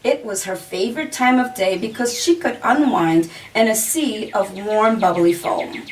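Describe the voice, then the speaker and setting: A woman reads a children's story aloud with deliberate phrasing. Her mid-range voice rises and falls expressively. She sounds a little distant from the microphone, with faint noise behind her. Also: mid-range voice. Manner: deliberate, pauses to point out the pictures. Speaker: children's author reading own picture book aloud.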